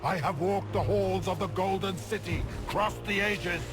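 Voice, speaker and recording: A man's voice: very deep voice, slow pace, over music, heavily acted. A man playing an ancient, arrogant monster who would be a god, declaiming theatrically in a fantasy game. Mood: desperate, imploring. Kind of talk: dramatic fantasy game acting.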